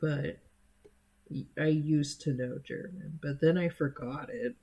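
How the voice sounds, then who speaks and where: A young adult streamer, a native Spanish speaker from the United States, chatting casually with viewers in an unhurried, hesitant way with many fillers. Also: mid-range voice, fairly even intonation, gravelly, dark tone, breathy edge.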